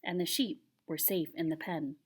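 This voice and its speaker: A woman's voice: deep voice, steady tone, normal speed, slight background noise, close to an everyday voice. A woman voicing a patient, solemn old grandfather who tells a tale in a grave, tender way, reading a children's picture book.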